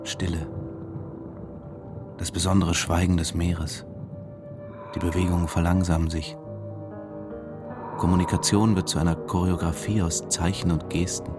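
A low-voiced controlled, reflective man narrating his own story in a radio play. He sounds measured and detached, with music clearly underneath. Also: level tone. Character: detached, controlled male diving instructor narrating inwardly. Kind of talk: first-person radio drama narration.

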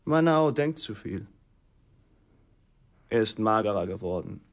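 An actor in a German radio drama playing a defiant, outspoken farm servant who talks in a dry, deliberate, insolent way. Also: male voice, on the low side, animated. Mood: knowing, dry.

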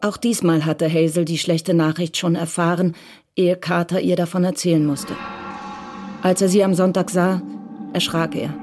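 A narrator reading a radio crime drama in a measured, even way. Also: mid-range voice. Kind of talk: scripted narration. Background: music.

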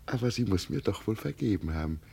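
Deluded old peasant emperor, solemn, gravelly, lively swooping pitch, vintage radio play. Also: male voice, quick pace, a little hiss or hum. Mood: wistful. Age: older. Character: deluded old peasant who believes himself emperor, gentle, wistful. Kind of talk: 1950 German radio play acting. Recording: vintage 1950 radio recording.

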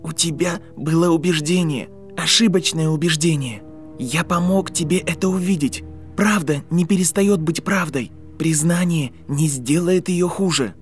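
Man playing an earnest, higher-voiced boy scientist wizard. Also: normal speed, faint music behind, lightly acted. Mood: strained, earnest. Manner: reasoning, lecturing, intense.

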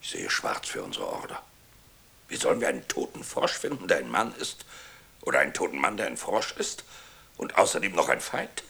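An actor playing an irascible ship's captain in a radio play, swearing, barking orders and switching between muttering and shouting. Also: a bass voice, huge pitch swings, a little fast, vocal fry, full character voice. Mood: bleak, baffled. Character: irascible, bellowing male ship's captain, bewildered and commanding.

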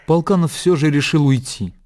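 A man narrating literary fiction for a radio drama in a measured, expressive storytelling manner. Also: fairly high pitch, slight background noise. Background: music.

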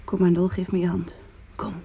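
A woman playing a tender, anxious girlfriend in a radio drama, soothing and concerned, with a breathy voice. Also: on the low side, monotone, moderate pace, dark tone, slight background noise. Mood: tender, consoling. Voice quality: breathy, husky. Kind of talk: Dutch radio drama acting. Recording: thin, narrow-band old radio recording.

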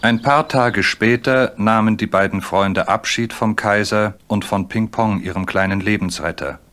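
A man's voice: on the low side, moderate pace, a bit bright. A man narrating a scripted children's story as its storyteller. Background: music.

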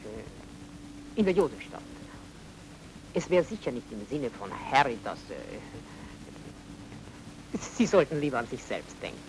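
An effusive, evasive Viennese baron, animated pitch. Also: male voice, unhurried, pauses often, background noise. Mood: guarded. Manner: courteous, effusive, theatrical, evasive. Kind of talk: radio drama acting.

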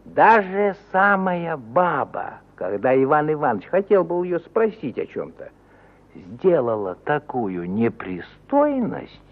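A man narrating a literary audio play in an expressive, theatrical 1950 Soviet radio style, moving between loud exclamation and hushed suspense, on an old recording. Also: on the high side, slow pace, phone-quality sound.

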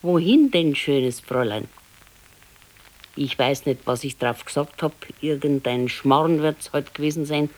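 A plain-spoken old Bavarian wife in a radio drama. Her voice sits a little low for a woman, and she talks in a homely, matter-of-fact way with a readiness to nag. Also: unhurried, a little mellow, rough recording. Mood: reminiscing. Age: older. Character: plain-spoken ageing wife, former servant girl, nagging. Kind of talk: naturalistic radio drama acting.

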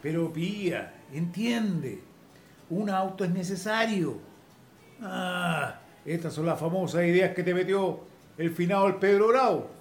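A man's voice: sits high, lively intonation, a little slow. An actor playing a stern, middle-aged Chilean landowner father in a radio drama. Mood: exasperated. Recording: echoey room.

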